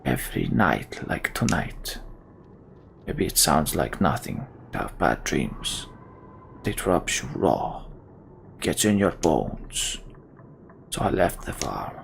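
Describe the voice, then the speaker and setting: A brooding giant warrior voiced by a man in a game, low and gravelly. He speaks slowly, subdued and weary, as one haunted by his past. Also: very animated, slight background noise, heavily acted.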